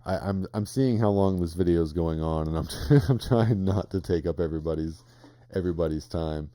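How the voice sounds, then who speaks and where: Casual home vlogger, man, low mellow voice, dry and crackly, rambling with chuckles. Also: fairly quick, no room sound. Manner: relaxed, rambling, chuckles often, many restarts. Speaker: vlogger unboxing gear. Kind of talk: casual late-night unboxing chat. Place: home, late at night.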